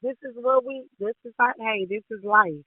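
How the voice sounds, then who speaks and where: A woman's voice: on the high side, moderate pace, dry recording. A woman singer from southeast Texas, telling her story as a radio guest. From southeast Texas and Louisiana. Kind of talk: phone-in interview. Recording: tinny phone line.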